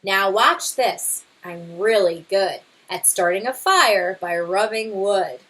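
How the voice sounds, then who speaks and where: A woman's voice: mid-range voice, takes it slowly, fairly bright tone, voice pushed down, poor audio. A woman voicing a boastful, bumbling father bear in a storybook read-aloud, with an emphatic manner.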